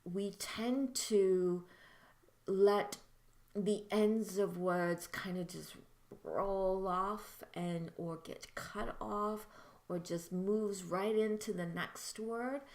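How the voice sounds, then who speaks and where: A soft-spoken woman giving a friendly, chatty lesson to camera as a teacher of mediumship. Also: mid-range voice, steady tone, drawn-out words, roomy sound. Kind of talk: casual instructional talk to camera.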